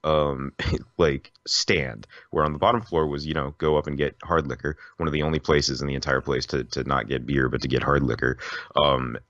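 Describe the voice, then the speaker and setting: A man's voice: extremely low pitch, fairly even intonation, normal speed, fairly bright tone, no echo, highs cut off. An American man telling stories casually on a podcast in a rambling, informal way. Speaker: podcast host.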